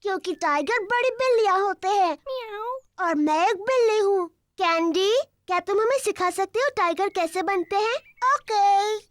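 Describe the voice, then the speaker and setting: A woman voicing a cheerful, curious little animal girl in a children's cartoon, with a small squeaky voice and an eager, emphatic manner. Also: a little slow, fairly bright tone, dry recording, clean studio sound. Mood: eager, playful.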